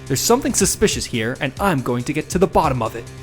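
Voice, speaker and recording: A man's voice: medium pitch, very animated, fast, natural voice. A man voicing an anxious, earnest young defense attorney in a video game dub, nervous and dramatic. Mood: flustered. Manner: nervous, dramatic, with muttered inner asides. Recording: long reverb, music underneath. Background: music.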